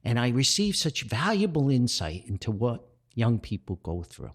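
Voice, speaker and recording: Older man with very animated pitch swings. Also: slightly rough. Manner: halting, repeats words and backtracks. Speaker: podcast host and author. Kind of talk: rambling personal reflective podcast monologue.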